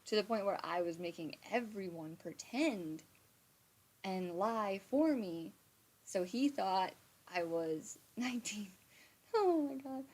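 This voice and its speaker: Rambling, chatty woman telling a story. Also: medium pitch. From Montana, USA. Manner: rambling, chatty, frequent fillers and restarts; swears casually. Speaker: live storytime streamer. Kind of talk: casual storytelling livestream. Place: home, indoors. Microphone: phone or webcam on a live stream.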